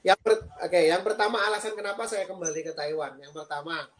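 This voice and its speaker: A man in his thirties from Indonesia, a guest on a live-streamed interview, explaining things earnestly with frequent pauses. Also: high-pitched, fairly bright tone, a little hiss or hum. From Lampung, Indonesia. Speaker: guest, PhD student and lecturer.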